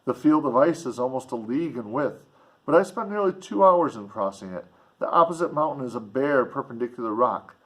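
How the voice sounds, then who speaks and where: A man's voice: medium pitch, animated, fairly quick. A man reading a classic novel aloud expressively as narrator and commentator. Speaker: reader and commentator. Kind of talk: expressive audiobook narration with casual asides.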